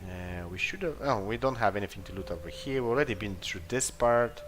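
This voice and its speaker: A man's voice: fairly low pitch, animated, a little fast, a bit bright, slight background noise. A man giving casual gameplay commentary, thinking aloud in a rambling, hesitant way. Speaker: video game player commentator. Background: game music.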